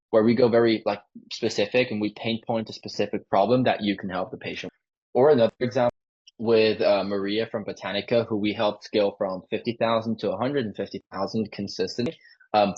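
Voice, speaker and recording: A man giving an informal sales pitch on a live call, peppering his explanations with "like". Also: breathy edge. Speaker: sales closer for a marketing agency. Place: online sales call with screen sharing. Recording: dull lo-fi call audio.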